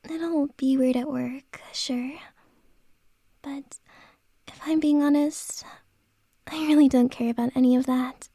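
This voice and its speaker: A woman voicing a flustered lady boss in an ASMR roleplay, whispering softly and haltingly close to a dry, close mic. Her voice sits high, mellow and unhurried. She pauses often between words. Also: steady tone, dry recording. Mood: tender, flustered. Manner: soft whispering, hesitant and halting.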